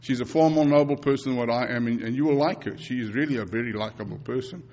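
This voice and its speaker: South african preacher guy, 50s, afrikaans first language, earnest and repetitive, mid pitch, muffled lo-fi recording. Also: quick pace, a little mellow. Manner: earnest, repeats phrases, frequent restarts. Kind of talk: sermon and mission report.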